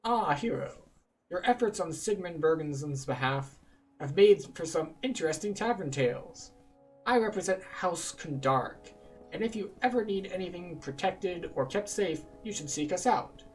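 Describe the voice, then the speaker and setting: A man's voice: high voice, lively intonation, normal speed, soft background music, rough recording. Man playing a formal, salesmanlike guild agent. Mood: courteous, persuasive. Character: courteous, businesslike house agent recruiting adventurers.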